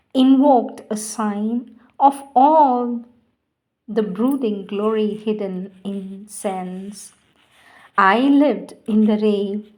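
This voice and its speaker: A woman giving a measured, reverent devotional reading of poetry and scripture, pausing between phrases. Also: medium pitch, darkish tone. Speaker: devotional reader.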